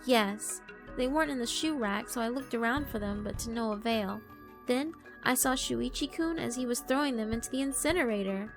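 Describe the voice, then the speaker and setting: A woman's voice: fairly high pitch, fairly quick, faint music behind, pitched above the performer's own voice, close to an everyday voice. A woman voicing a yokai posing as a sweet, frightened schoolgirl, pleading with false innocence in a horror visual novel. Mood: sweet, worried. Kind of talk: visual novel character narration.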